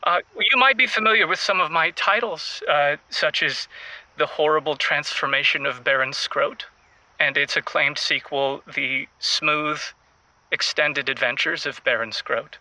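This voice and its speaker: Male novelist caller, piercingly bright tone, close dry sound, pompous, awkward, halting, audio comedy. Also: a little high, no room sound, heavily acted, rough recording. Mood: self-important, halting. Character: awkward, self-important male erotica novelist phoning in. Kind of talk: audio comedy drama acting.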